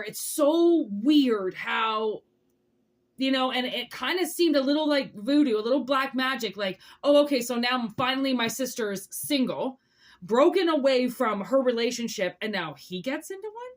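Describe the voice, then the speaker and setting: A woman's voice: sits high, lively intonation, normal speed. A woman reading tarot in a casual, chatty way, rambling through asides and checking in with the listener as she goes. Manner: rambling, conversational, with asides and rhetorical check-ins. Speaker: tarot reader hosting zodiac readings.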